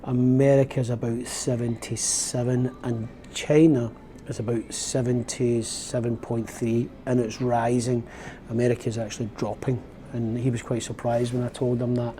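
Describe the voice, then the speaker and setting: A man's voice: mid-range voice, level tone, normal speed, dark tone, slight background noise. A Glaswegian man in his fifties, rambling in a casual, reflective vlog, often correcting himself as he goes. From Scotland. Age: 50s. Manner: rambling, chatty, self-correcting. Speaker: vlogger. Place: outdoors on a windy open-top bus tour.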